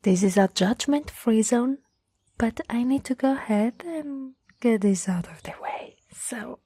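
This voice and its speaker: A woman acts out a tall young woman who teases gently and cares a lot, in an intimate ASMR roleplay. Her voice is soft and breathy with a little husk. She takes her time, coaxing playfully, and the sound is very dry. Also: on the high side, unhurried, dry recording. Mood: coaxing, concerned. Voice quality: soft, breathy, slightly husky. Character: tall, teasing, caring young woman, former childhood friend.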